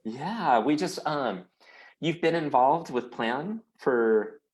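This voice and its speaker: A man's voice: medium pitch, lively intonation, slow pace. A man hosting a casual online interview show. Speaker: host of a weekly chat show.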